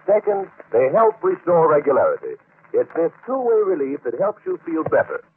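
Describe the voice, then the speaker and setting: A male announcer reads an old-time radio advertisement in a fairly high, very animated voice. The recording is narrow and tinny, with a little noise. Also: moderate pace. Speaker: commercial announcer. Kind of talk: 1940s radio advertising pitch.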